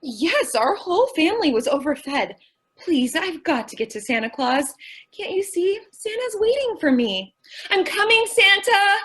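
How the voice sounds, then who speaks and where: A woman's voice: extremely high pitch, very animated, unhurried, fairly bright tone, exaggerated voice. A woman playing a naive, sweet, scatterbrained young woman in an old-time radio comedy, with an innocent, hesitant delivery. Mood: earnest, pleading.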